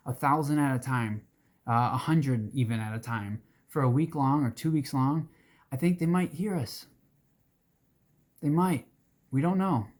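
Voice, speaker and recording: A man with a worn, raspy voice talks to his followers in an earnest, loose way, often restarting his sentences and clearing his throat. His pitch sits in an ordinary middle range. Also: darkish tone. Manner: earnest, rambling with restarts and fillers. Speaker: advocacy group organizer. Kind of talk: casual direct-to-camera talk.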